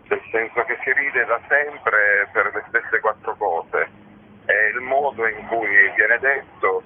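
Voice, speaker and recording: Low-voiced man on tinny, noisy audio. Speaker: festival organiser being interviewed. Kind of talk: conversational interview answers. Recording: noisy phone-like narrow-band audio.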